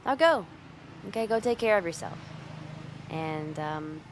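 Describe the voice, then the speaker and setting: A woman playing a brash delinquent young woman in an ASMR roleplay, speaking straight to the listener in a blunt, informal way with halting hesitations, gruff but secretly caring. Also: fairly low pitch, expressive. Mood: flustered, guarded. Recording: clear background noise, outdoor street ambience.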